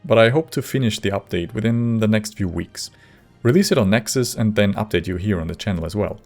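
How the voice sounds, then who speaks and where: Low, gravelly man narrating a video. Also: fairly quick, darkish tone. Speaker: video creator and modder. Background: faint music.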